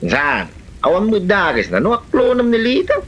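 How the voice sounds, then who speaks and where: A woman with a Filipino accent and a husky voice playing a concerned, persuasive village relative in a comedy drama. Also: mid-range voice, huge pitch swings, moderate pace, muffled recording. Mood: persuading.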